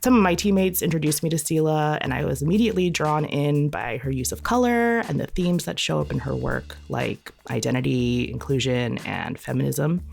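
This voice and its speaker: Low-ish friendly-chatty woman interviewing a guest on a podcast. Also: moderate pace, gravelly, few pauses, darkish tone. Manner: upbeat and conversational. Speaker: guest host.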